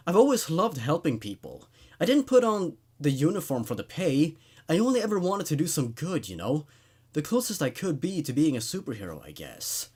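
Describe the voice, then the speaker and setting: A man hosting a horror story reading, narrating in an expressive, conversational, laid-back way. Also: fairly high pitch, moderate pace, breathy edge. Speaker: host reading horror stories aloud.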